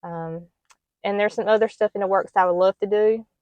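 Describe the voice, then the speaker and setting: A middle-aged woman who talks casually to the camera in a soft, plain and hesitant way, with many ums. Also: medium pitch, steady tone, brisk, no echo, highs cut off. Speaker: homestead vlogger.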